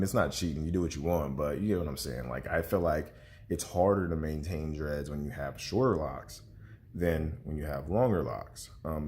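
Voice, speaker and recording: A young man with a very low voice, stuffy and congested, chats loosely to his camera. He rambles with many fillers and restarts, and a faint hiss sits under the recording. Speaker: vlogger. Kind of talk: casual vlog chat to camera.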